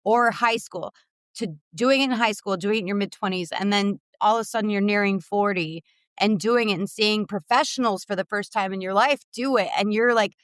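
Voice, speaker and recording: A woman's voice: mid-range voice, a little fast, a bit bright, clean tone, no room sound. A woman in her late thirties co-hosting a podcast, candid and casual, swearing now and then and trailing off. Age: late 30s. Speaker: podcast co-host, theater teacher. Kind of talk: casual chat.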